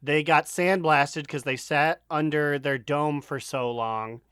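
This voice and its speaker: A man with a fairly high voice talks casually on a podcast. The sound is dry, without room echo. He retells a story jokingly, tossing in made-up lines of dialogue. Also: steady tone, slow, fairly bright tone, clean tone. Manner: joking, riffs in imagined quotes. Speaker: podcast co-host.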